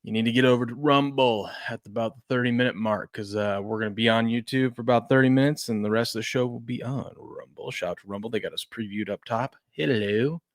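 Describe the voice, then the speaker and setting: A man in his thirties hosting a live sports betting show, chatting casually and swinging between mumbles and loud hype. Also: mid-range voice, fast talker, few pauses, dry recording, clean studio sound. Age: 30s. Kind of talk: casual live-stream chat.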